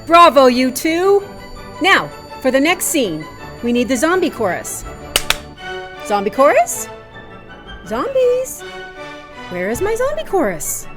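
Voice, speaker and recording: A woman's voice: high-pitched, wildly swooping pitch, slow, long pauses, heavily acted. Woman playing a theatrical, swooping drama teacher. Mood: theatrical, exasperated. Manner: dramatic, exclamatory. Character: flustered, theatrical female drama teacher running rehearsal. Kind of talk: audio drama acting. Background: music.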